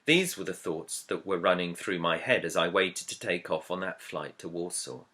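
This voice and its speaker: A man, an author, reading his own book introduction as scripted narration in a measured, earnest, reflective way. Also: sits low, sharp tone. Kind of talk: scripted audiobook-style narration.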